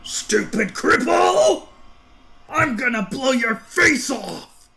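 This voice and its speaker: A man voicing a loud, sneering young school bully in a comic dub, taunting and aggressive. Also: very high voice, sing-song, takes it slowly, creaky, background noise, full character voice. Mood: furious.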